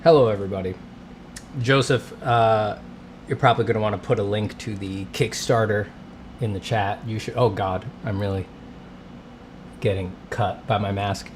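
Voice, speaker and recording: A man's voice: fairly low pitch, steady tone, moderate pace, long pauses, a little hiss or hum. A congested man hosting a casual livestream, rambling and greeting his chat between coughs. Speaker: livestream host, artist. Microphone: streaming setup mic.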